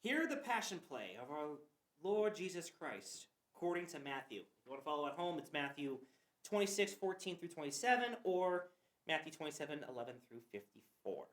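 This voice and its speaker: A woman's voice: sits low, very animated, fairly quick. A woman narrating scripture for a church passion play reading. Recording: roomy echo.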